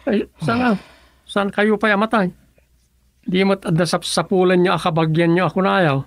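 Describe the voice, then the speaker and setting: A man's voice: high voice, fairly even intonation, normal speed, no echo, studio quality. A man playing an inquisitive, cautious villager in a radio drama, plain-spoken and with an Ilocano accent. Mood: questioning, concerned.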